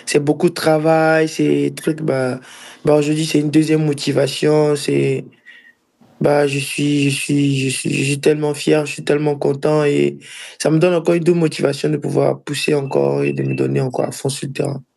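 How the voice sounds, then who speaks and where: A young man from Ivory Coast with an Ivorian accent gives casual interview answers as a footballer. His voice sits fairly high and is heavy with fry. He talks haltingly, circling back and repeating phrases to press his point. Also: a little mellow. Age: early 20s. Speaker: professional footballer being interviewed.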